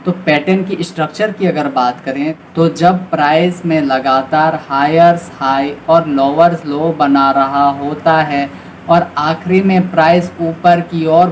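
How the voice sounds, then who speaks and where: A man with an Indian accent giving an informal, explanatory beginners' lesson as a trading tutor, often checking in with short confirmations. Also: fairly high pitch. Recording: clearly noisy recording with background ambience.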